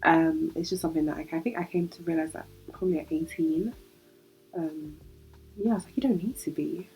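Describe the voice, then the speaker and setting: A woman's voice: low voice, fairly quick, gravelly, warm tone, soft background music, low-quality recording. A young British woman hosting a solo podcast, chatty and reflective, sounding nasal from a cold. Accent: British English. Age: young adult. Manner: chatty, reflective, laughs easily. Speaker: podcast host.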